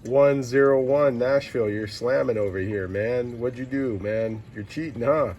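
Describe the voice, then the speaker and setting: A man's voice: medium pitch, moderate pace, lo-fi sound. A man working a CB radio in casual, slangy talk, repeating clipped callsigns and numbers. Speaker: CB radio operator. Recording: loud radio static and noise.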